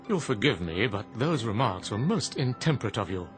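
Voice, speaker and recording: An actor playing a polite, deferential mechanical manservant in a radio drama, formal and gently reproving. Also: male voice, fairly low pitch, very animated, normal speed, over music. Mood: politely reproving.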